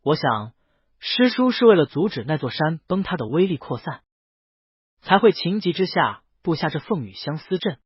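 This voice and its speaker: A man's voice: on the high side, very animated, normal speed, husky, no echo, highs cut off, exaggerated voice. A man reading as a composed female immortal. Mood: calm.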